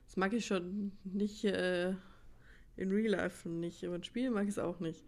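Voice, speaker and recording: A woman's voice: medium pitch, steady tone, a little slow, darkish tone, a little hiss or hum. A woman giving casual gameplay commentary, musing aloud half to herself. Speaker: let's play host.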